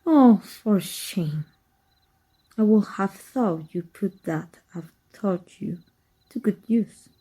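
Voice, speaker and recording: A woman playing an exiled evil magic teacher in an ASMR roleplay, wry and fond, speaking softly and intimately with a husky, breathy voice. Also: mid-range voice, expressive. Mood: fond, regretful. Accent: Mexican. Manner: wry, unhurried, intimate, addressing a former student.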